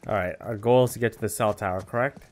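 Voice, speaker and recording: A man's voice: lowish voice, quick pace, darkish tone. A man giving casual gameplay commentary, chatty and self-mocking, guessing aloud. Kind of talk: casual let's-play commentary.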